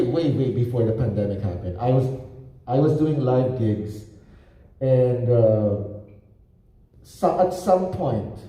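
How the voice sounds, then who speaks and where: A man, a violinist, moderating a panel and speaking haltingly, repeating words as he thinks. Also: mid-range voice, dark tone. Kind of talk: panel talk. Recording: very echoey hall.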